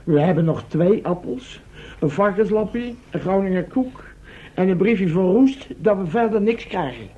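An actor in a Dutch radio play playing an excitable, cheeky young man trapped in a castle. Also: sits high, moderate pace, slight background noise. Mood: dry teasing. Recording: dull lo-fi old radio recording.

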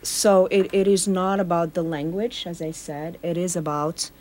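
Earnest woman, slightly low voice. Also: steady tone, moderate pace, darkish tone. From Morocco. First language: Arabic. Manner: earnest, explaining with repeated phrases. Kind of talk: casual radio chat.

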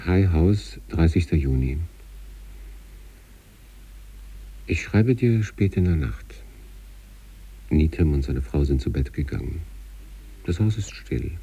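A man acting an obsessive sanatorium patient in a literary radio monologue, reading out letters to his wife with measured, introspective intensity and a husky, gravelly voice. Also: lively intonation, far from the mic, full character voice. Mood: quiet, impatient. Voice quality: husky, gravelly, dark low voice.

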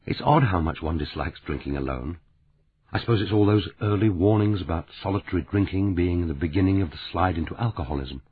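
A man voicing a grieving, bitter young woman of twenty-nine, speaking softly and quietly. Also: sits low, fairly quick, breathy edge. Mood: cheerful, strained. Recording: tinny phone-like sound.

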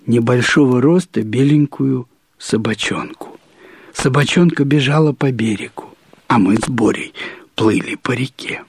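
A man narrating a first-person literary short story in a deliberate, wryly humorous manner, with measured pauses and repetitions. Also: mid-range voice, darkish tone, breathy edge, dry recording.

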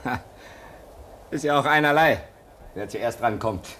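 A man's voice: medium pitch, expressive, measured pace, fairly bright tone, a little hiss or hum. An actor playing a bitter, restless man at a polar station in a theatrical, sardonic German radio play. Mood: mocking merriment. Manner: theatrical, sardonic, repeats words.

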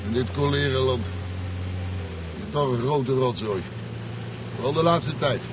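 A man's voice: a little slow, natural voice. Man playing a brash, mouthy trucker over engine noise. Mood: gruff, chatty. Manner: coarse, slangy, mocking. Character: cheeky, brash, rebellious truck driver hauling pigs. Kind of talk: 1970s radio drama acting. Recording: dull, noisy 1970s radio recording, engine rumble. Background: vehicle engine noise.

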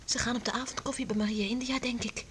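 Radio drama: soft-mannered young daughter, female actor, wistful, high pitch, clearly hissy old recording. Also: fairly even intonation, fast talker, close to an everyday voice. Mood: curious, quiet. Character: gentle, wistful young daughter of an island family.

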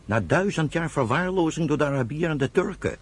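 Big young pioneer brother in a radio play, raspy, steady and encouraging, lots of up and down. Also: male voice, a little high, fairly quick. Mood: reassuring. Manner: earnest, encouraging. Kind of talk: Dutch radio play acting.

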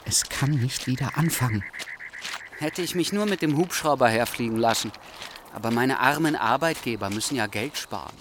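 An actor playing a sceptical, grumbling stranded train traveller in a radio play, dry and muttering. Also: male voice, medium pitch, moderate pace, lightly acted. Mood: puzzled, grumbling. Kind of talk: German radio play dialogue and monologue. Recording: clearly noisy background.

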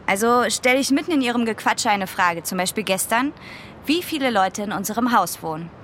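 A woman's voice: fairly high pitch, lively intonation, fairly quick, fairly bright tone, slight background noise. An actress playing a candid, proud teenage girl in a radio drama, with a direct, youthful way of talking. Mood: candid, guarded.

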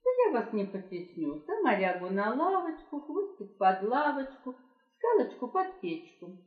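A woman's voice: on the high side, big pitch swings, unhurried. Woman as a sly, lilting storybook she-fox. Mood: wheedling. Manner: sing-song, coaxing and demanding. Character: sly wheedling she-fox from a folk tale. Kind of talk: children's folk tale character voice. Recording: muffled home cassette with roomy echo.

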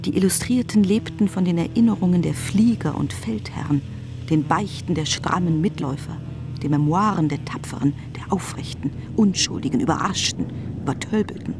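An intense, sardonic narrator with a fairly high, creaky voice. He delivers poetic radio drama lines over clear music. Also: moderate pace. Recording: music behind the voice.

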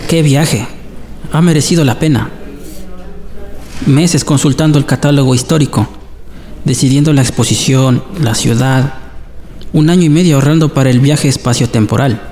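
An actor playing an earnest young time traveler from the future, lost in musing over a painting in an amateur radio drama. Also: male voice, medium pitch, normal speed, pauses often, a little mellow, in a large hall, lightly acted. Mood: rapturous. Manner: dreamy, earnest inner musing.

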